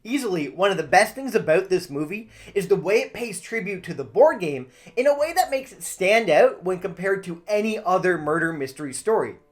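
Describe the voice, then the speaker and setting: A woman's voice: lowish voice, animated, a little fast, few pauses, faint music behind. A woman with a Canadian accent hosting a scripted film video essay, talking playfully and directly to the viewer. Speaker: video host and film commentator. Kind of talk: scripted video essay narration with chatty asides.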